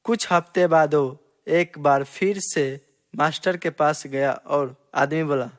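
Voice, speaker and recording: Male storyteller, moderately high voice, scripted moral-tale narration. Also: fairly even intonation, slow.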